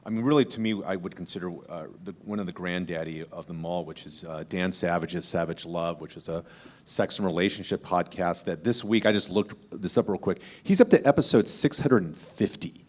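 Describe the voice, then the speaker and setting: A man with a low voice speaks as a podcast host on a panel. He explains his views in a measured, conversational way, often reworking a phrase midway.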